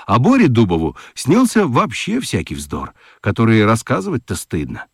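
A man narrating a scripted children's story for a radio play, expressive and fond of dramatic pauses. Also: mid-range voice, husky, dry recording, clean studio sound.

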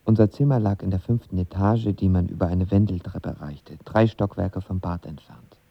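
A man's voice: sits low, normal speed, vocal fry, highs cut off. An actor playing a lovestruck, insecure young actor who tells of his first love in a radio drama, intimate and colloquial. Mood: wistful narration. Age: young adult. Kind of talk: radio drama acting and first-person narration.

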